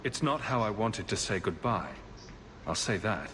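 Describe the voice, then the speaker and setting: An actor playing a composed, wry adventurer hero in a video game, speaking in a measured way. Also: male voice, on the low side, very animated, a bit bright, roomy sound, a little hiss or hum. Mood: calm, measured.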